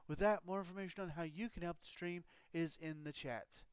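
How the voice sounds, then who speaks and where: Man streaming, scratchy-throated, smooth and dry. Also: on the high side, fairly even intonation, fairly quick, dry recording. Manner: rambling, loose explanations with frequent fillers. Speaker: game streamer. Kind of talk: casual live-stream chat.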